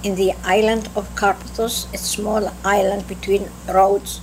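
An elderly woman from Greece with a Greek accent, slowly recalling her life in an oral history interview, with halting restarts. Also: mid-range voice, little pitch movement. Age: older. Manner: slow, halting recollection with restarts. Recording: noisy tape with hum.

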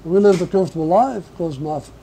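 An older man from Portugal recalls the past for an interviewer, halting often as he gathers his thoughts. His voice sits high for a man yet sounds deeply dark and mellow. A faint hiss runs under the recording. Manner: halting, reflective, slow recall. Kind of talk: oral history reminiscence.

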